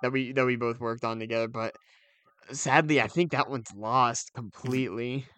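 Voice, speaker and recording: Smooth-voiced young man in casual chat. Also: medium pitch, fairly even intonation, moderate pace, fairly bright tone. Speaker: podcast co-host.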